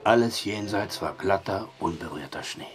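A man's voice: deep voice, moderate pace, faint music behind, close to an everyday voice. An actor playing a dry, skeptical male barkeeper in an audio drama. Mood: mysterious, matter-of-fact. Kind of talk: German audio drama acting.

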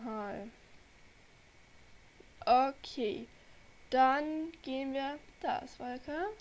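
A young woman with a cold, chatting to her viewers in a relaxed, rambling way while she plays. Her voice sits a little high, and she takes her time with each thought. She talks into a desk mic from home. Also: drawn-out words. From Germany. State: has a cold. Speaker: gaming channel host. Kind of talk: casual gameplay commentary. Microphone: desk microphone.

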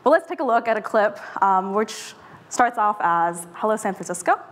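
A young woman in her twenties giving a polished, scripted startup pitch on a stage, with a clip-on mic. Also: lively intonation, moderate pace. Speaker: startup presenter. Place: stage in a mid-sized event room.

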